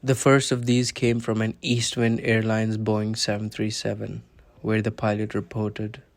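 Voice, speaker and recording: Even, flat-pitched male documentary narrator. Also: measured pace, creaky.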